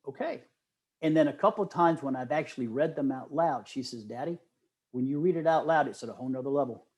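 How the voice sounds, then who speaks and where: A middle-aged man telling stories casually as an interview guest, with an animated, enthusiastic manner. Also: medium pitch.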